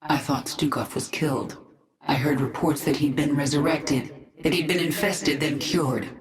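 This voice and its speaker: A woman's voice: low voice, fairly even intonation, normal speed, close to an everyday voice. An actress voicing a determined, commanding female swarm queen who speaks firmly. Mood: resolute. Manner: firm and resolute, at times dropping to a whisper. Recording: echoey.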